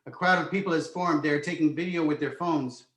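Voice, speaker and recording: Male narrator, slightly high voice, scripted screenplay reading, stage directions, echoey room. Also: fairly quick, a bit bright. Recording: roomy, echoing home recording.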